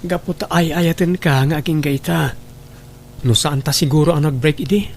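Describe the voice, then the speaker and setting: High-voiced, sweet-talking ex-lover played by an actor. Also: male voice, normal speed, a little mellow, a little hiss or hum, lightly acted. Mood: affectionate. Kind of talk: Ilocano radio drama acting.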